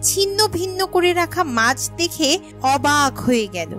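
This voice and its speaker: A woman with a high voice narrates a children's story. Her scripted storytelling sits over faint background music. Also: animated, normal speed, a bit bright.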